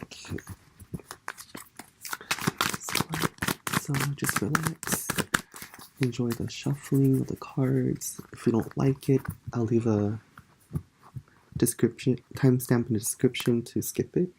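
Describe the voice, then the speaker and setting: A congested tarot reader leading a relaxed pick-a-card reading, speaking softly and musing in a meandering way. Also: medium pitch, slow, creaky, long pauses, background noise. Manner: soft-spoken, meandering, musing aloud.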